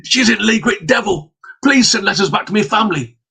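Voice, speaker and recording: A man voicing a panicked, cursed peddler, shouting in desperation. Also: high voice, expressive, brisk, creaky, husky, pitched above the performer's own voice, exaggerated voice. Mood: frantic. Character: cursed middle-aged male peddler, frantic.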